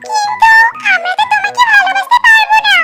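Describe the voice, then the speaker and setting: Extremely squeaky teasing cartoon girl. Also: flat delivery, quick pace. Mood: taunting. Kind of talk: Bangla children's cartoon voice acting. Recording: loud music behind the voice.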